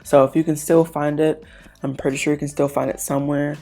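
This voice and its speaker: A woman's voice: deep voice, brisk. A young woman with a stuffed-up, congested voice, chatting casually through a makeup tutorial at home. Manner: chatty, relaxed, lots of fillers. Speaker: beauty vlogger.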